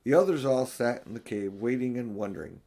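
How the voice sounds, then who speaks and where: A man's voice: medium pitch, fairly quick, warm tone. Older man reading a storybook aloud. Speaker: audiobook reader. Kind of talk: expressive storybook narration.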